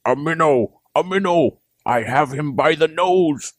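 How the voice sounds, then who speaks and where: A man voicing a fussy, proper little gentleman frog from a storybook, with gravelly, husky speech and formal, genteel phrasing. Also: a little high, a little slow, dry recording, clean studio sound, pitched above the performer's own voice, natural voice. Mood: excited.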